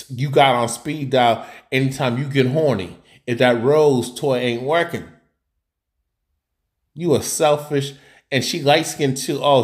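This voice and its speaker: A man hosting a live reaction stream, ranting bluntly over a clip he is playing, often repeating and restarting his words. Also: medium pitch.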